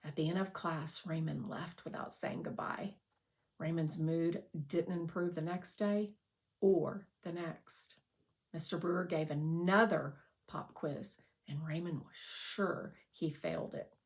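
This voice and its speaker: A woman narrating a children's novel aloud as a scripted, expressive read-aloud. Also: low voice, a little slow. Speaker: audiobook reader.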